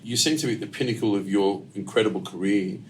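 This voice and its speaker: Podcast host: man, deep voice, casual interviewing, faint noise behind. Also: fast, a little mellow.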